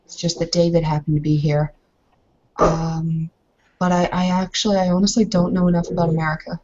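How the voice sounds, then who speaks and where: A woman's voice: lowish voice, level tone, brisk, a little mellow, lightly acted. Woman playing a hesitant, friendly newlywed, slightly muffled. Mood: tentative, friendly. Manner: hesitant, tactful, rambling. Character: amiable young Bavarian bride, werewolf kinfolk newly arrived.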